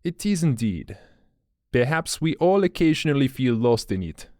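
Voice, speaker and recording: A man's voice: mid-range voice, big pitch swings, darkish tone, no echo, lightly acted. Male narrator doing older French enforcer, polite menace, French accent, dry sound. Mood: silkily menacing. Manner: courteous, measured, veiled threats.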